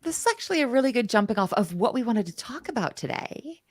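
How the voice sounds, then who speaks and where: A woman's voice: a little high, big pitch swings, fast talker, no room sound, studio quality. A woman co-hosting a livestream, talking in a bubbly, playful way. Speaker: co-host. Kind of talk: casual livestream chat.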